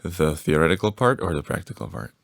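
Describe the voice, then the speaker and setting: Very deep gravelly young man. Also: brisk, dry recording, clean studio sound. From Bulgaria. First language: Bulgarian. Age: young adult. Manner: relaxed, laughs often, restarts phrases. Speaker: guest. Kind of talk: casual podcast chat.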